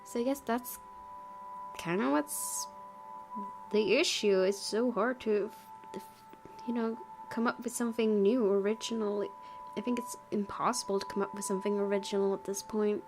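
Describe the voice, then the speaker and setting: A woman chats through a game in a casual, rambling let's play style. Her voice sits at a medium pitch and is giggly and informal, with faint music behind it. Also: fairly even intonation, moderate pace. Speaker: gaming video host. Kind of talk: casual let's play commentary and read-aloud. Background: soft game music.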